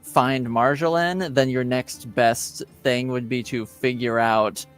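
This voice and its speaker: A man's voice: medium pitch, steady tone, slow pace, smooth, faint music behind. A man acting as game master, casually talking players through rulings and what their characters know.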